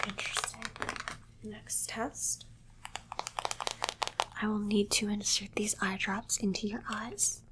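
A woman's voice: mid-range voice, natural voice, poor audio. Woman playing a whispering, clinical female alien doctor. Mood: clinical, condescending. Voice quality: soft breathy whisper. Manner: slow repetitive instructions, matter-of-fact. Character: female alien doctor, clinical, condescending, studying human captive. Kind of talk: whispered ASMR medical exam roleplay.

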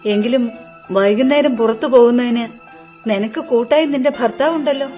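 Woman playing disapproving mother-in-law in a radio drama, highish voice, worried, reproachful, bit of music behind. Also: moderate pace, warm tone, natural voice. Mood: stern, questioning. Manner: earnest, reproachful. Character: worried, disapproving middle-aged mother-in-law, protective of her son. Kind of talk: Malayalam radio play acting.

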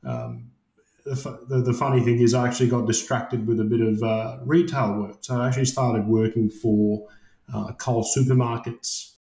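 A man's voice: mid-range voice, lively intonation, normal speed, warm tone, reverberant. A man in his late thirties from Iran, raised in Australia, talking as a guest in a casual interview and often hesitating with fillers and restarts. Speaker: guest, construction project manager.

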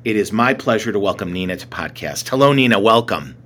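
A man's voice: on the low side, expressive, a little fast, a bit bright. A man hosting a podcast, reading a friendly welcome and asking his guest questions. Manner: friendly, reads scripted intros. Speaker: podcast host. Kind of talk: podcast interview.

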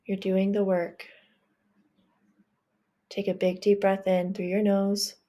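Slow, soft-spoken woman guiding meditation. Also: medium pitch, monotone. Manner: gentle, reassuring, affirming. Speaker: meditation guide. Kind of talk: guided healing meditation.

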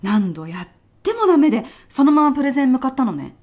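Casting: actress as high-voiced female office senior, petty worrier, blunt and pushy, for comedic audio sketch. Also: sing-song, fast, a little mellow. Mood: brisk, confiding. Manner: blunt, pushy, rough-mouthed when challenged. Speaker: voice actor in a comedy radio drama. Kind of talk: short comedic radio drama.

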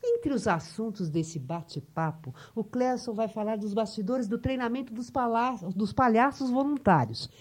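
A woman's voice: fairly high pitch, moderate pace, hardly any pauses. A woman hosting an interview, reading the guest's background and chatting with him, often tagging "né?". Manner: chatty, frequent tag "né?". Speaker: interview host.

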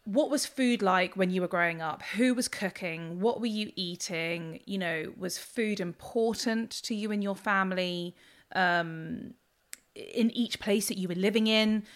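A woman's voice: medium pitch, measured pace. A woman hosting a food podcast, reading a scripted intro before chatting casually with her guest. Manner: reads a scripted intro, then chats casually and laughs along. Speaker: podcast host. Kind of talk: podcast interview.

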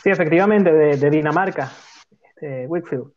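A man's voice: on the high side, moderate pace, a little mellow. A man from Venezuela hosting a casual music talk, chatty and joking as he tells stories. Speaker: podcast host. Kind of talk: casual chat, leading a music playlist talk. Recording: dull lo-fi sound.

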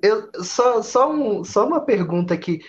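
Slightly dull, lo-fi audio carries a Brazilian man with a fairly high voice. He hosts in a casual, informal way, dropping slangy interjections and conversational questions. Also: lively intonation, unhurried. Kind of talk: casual chat.

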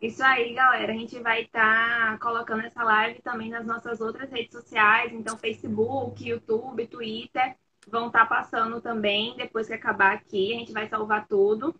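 Bright-voiced young woman on a lo-fi call. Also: a little high, level tone, moderate pace. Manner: upbeat, addresses the audience as "galera". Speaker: product lead hosting a live stream. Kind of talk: casual live-stream hosting. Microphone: video call audio. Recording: dull lo-fi call audio with faint noise.

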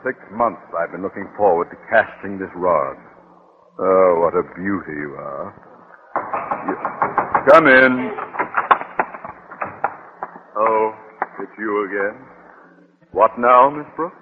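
An actor playing a pompous, irritable, sarcastic middle-aged school principal in a radio sitcom, blustering theatrically. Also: male voice, sits low, expressive, slow pace, pauses often, phone-quality sound. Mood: irritated, sarcastic. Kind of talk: 1950s radio sitcom acting. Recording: old radio recording, clear hiss.

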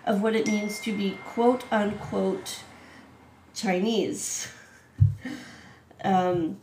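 A woman's voice: medium pitch, steady tone, very slow, echoey room, a little hiss or hum, poor audio. A woman hosting a casual video-call interview, chatty with lots of "um"s and laughs. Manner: chatty, warm laughs, many "um"s. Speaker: host, artistic director.

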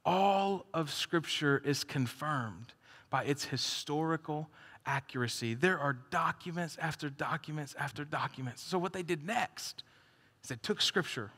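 A man's voice: a little high, a bit bright. A man preaching a conversational sermon as a pastor, joking and laughing between points. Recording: long hall reverb.

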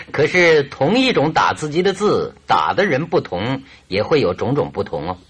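An actor playing a teasing, self-assured male detective in a Mandarin radio drama, with a husky voice. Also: mid-range voice, big pitch swings, a little slow, fairly bright tone, exaggerated voice. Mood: amused. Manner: chuckling, teasing, theatrical swings in delivery.

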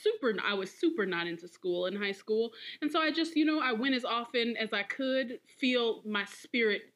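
A woman co-hosting a podcast in casual pop culture chat, animated and emphatic, often repeating phrases for effect. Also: on the high side, moderate pace. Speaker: podcast co-host.

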